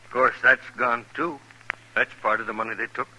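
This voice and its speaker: Sharp, fretful old-west banker. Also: male voice, medium pitch, fairly even intonation, normal speed, slight background noise, rough recording. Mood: dejected. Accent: American. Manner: fretful, scolding. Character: agitated, indignant frontier bank owner. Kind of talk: 1950s western radio drama acting.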